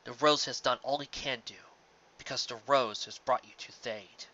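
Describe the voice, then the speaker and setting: A man voicing a gentle, sad pony father in a storybook reading, speaking in a hushed, tender, earnest way. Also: medium pitch, moderate pace, lo-fi sound, close to an everyday voice.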